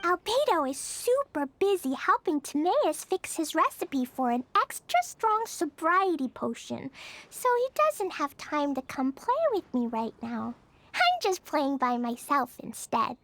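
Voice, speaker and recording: A woman's voice: high-pitched, very animated, a little slow, a bit bright, no echo, exaggerated voice. A woman playing an innocent, bubbly, playful little girl in a video game, with a small childlike voice and an eager, earnest manner. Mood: chatty. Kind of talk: video game cutscene acting.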